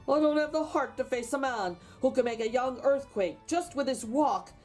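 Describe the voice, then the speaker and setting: A woman's voice: high voice, a little mellow, close to an everyday voice. A woman voicing a fearful, honest giant husband in a storybook folktale, sweet-talking and fretful. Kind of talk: storybook character voice.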